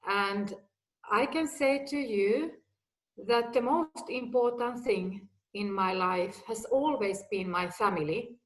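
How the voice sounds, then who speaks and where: Slow-speaking woman. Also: medium pitch, rough recording. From Finland. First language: Finnish or Swedish. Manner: slow, deliberate, simple phrasing. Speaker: guest executive. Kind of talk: personal talk.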